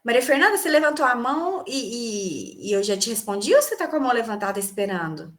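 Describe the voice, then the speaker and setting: A woman's voice: a little high, sing-song, fast. A woman English teacher from Brazil giving an online class on a video call, explaining terms and quizzing her students. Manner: explanatory, asks students questions and answers them.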